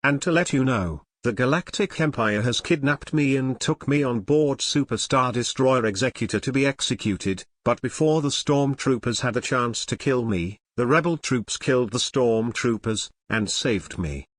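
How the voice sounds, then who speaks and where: A man acts as a male field reporter in a fictional invasion newscast. His voice sits at a middle pitch, recorded very dry and close. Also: normal speed, hardly any pauses, no room sound, close to an everyday voice. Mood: earnest. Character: male TV field reporter, rescued captive of the Empire. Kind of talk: scripted fictional news report.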